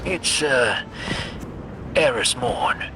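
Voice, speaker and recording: An actor playing a guarded, laconic drifter who speaks hesitantly in a video game cutscene. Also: male voice, mid-range voice, expressive, drawn-out words, low-quality recording. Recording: very loud music behind the voice.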